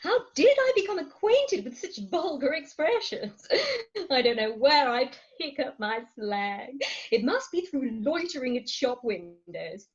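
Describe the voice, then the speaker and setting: A woman's voice: high-pitched, very animated, unhurried. A woman acting a proud, coaxing middle-aged wife in a comic Victorian farce, theatrical and emphatic, on slightly dull online call audio. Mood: embarrassed, fluttery. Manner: theatrical, emphatic, grandly articulate. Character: imperious, coaxing Victorian English wife, thirty-six, proud. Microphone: remote home recording over video call.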